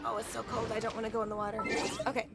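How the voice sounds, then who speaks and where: Young woman game streamer, high voice, chatty n playful, loud game music under her. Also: monotone, rapid-fire, vocal fry. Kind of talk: casual live gameplay commentary. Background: video game music and combat sounds.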